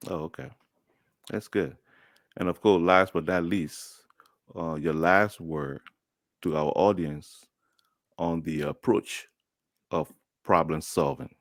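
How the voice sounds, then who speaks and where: A man's voice: sits low, fairly even intonation, takes it slowly, long pauses, dry recording, clean studio sound. A man from Liberia hosting a podcast interview, chatty and friendly, laughing easily as he asks questions. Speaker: host.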